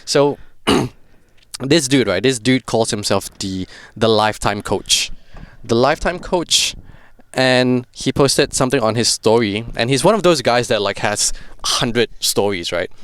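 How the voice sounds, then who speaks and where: A young man with a Singaporean accent hosting a podcast in relaxed, rambling casual chat, full of fillers. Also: medium pitch, unhurried, clear, resonant tone. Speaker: podcast host.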